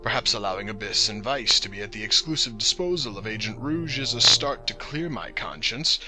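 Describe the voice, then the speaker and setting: A man playing a weary, calculating boss in an audio drama, speaking in a measured, formal, ominous way. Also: lowish voice, music in the background, close to an everyday voice. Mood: grave, weary. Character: weary, calculating male boss with a heavy conscience.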